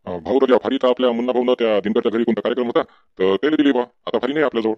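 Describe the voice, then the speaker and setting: Cartoon casting: loyal chatty farmhand male performer, Varhadi Marathi accent, mid-pitched, racing speech, dull close recording. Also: very fast, no echo, close to an everyday voice. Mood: resigned, chatty. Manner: folksy, deferential. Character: loyal, gossipy village farmhand. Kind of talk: comedy cartoon dialogue. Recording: dull, lo-fi sound.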